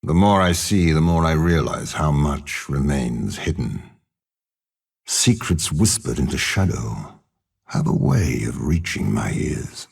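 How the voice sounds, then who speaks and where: An actor playing a cold, scheming older warlord and spymaster in a video game, speaking with a gravelly, husky voice in a measured, haughty, menacing manner. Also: male voice, extremely low pitch, big pitch swings, a little mellow, heavily acted. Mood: cold, calculating. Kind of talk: video game character voice lines.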